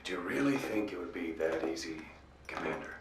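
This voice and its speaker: An actor playing a stern, mocking military warden who taunts a prisoner in a video game. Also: male voice, low-pitched, expressive, brisk, noisy background. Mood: stern, taunting. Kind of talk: dramatic game dialogue. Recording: echoey, distant from the mic.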